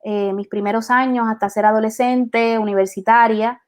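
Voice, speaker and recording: A woman talks about her work in a reflective conversation. Her voice is somewhat high. She talks in a thoughtful way, pausing often and restarting her sentences. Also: fairly even intonation. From Puerto Rico. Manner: thoughtful, many hesitations and restarts. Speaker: interviewed artist.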